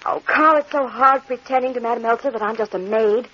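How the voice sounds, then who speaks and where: An actress playing an anxious, devoted young wife in earnest, melodramatic 1940s radio style. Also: female voice, on the high side, a little fast, slightly rough. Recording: muffled vintage 1940s radio recording.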